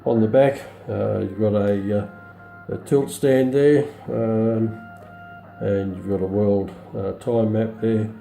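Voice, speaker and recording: A middle-aged man giving an unscripted product demonstration in a halting, matter-of-fact way. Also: fairly low pitch, fairly even intonation, creaky, dark tone, echoey room, soft background music. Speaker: presenter of a radio review. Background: shortwave radio broadcast playing.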